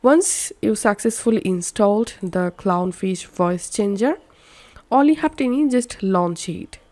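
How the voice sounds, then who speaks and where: A woman narrating a software tutorial, giving step-by-step instructions. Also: mid-range voice, unhurried, no room sound.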